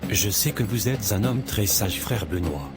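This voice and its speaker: A man's voice: lowish voice, expressive, unhurried, over loud music. A man playing an earnest, troubled young man. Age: young adult.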